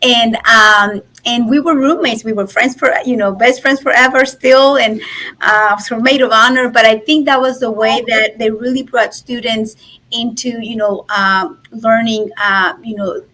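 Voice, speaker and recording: Woman, 40s, Mexican accent, native Spanish speaker, higher-pitched voice, conversational storytelling, podcast guest. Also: fairly even intonation, measured pace, bright, forceful tone, poor audio. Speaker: podcast guest, nonprofit president and business founder. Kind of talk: conversational interview, personal storytelling.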